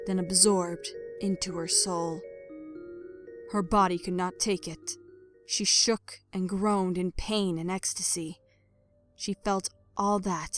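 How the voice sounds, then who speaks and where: A woman narrating a dramatic story from a script. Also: mid-range voice. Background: music.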